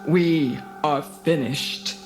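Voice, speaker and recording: A woman's voice: low-pitched, poor audio. A woman playing a cruel, arrogant alien commander in a dramatised storybook, imperious and scornful. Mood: angry. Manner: imperious, gloating, deliberate. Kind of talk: dramatised storybook audio acting. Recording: music behind the voice.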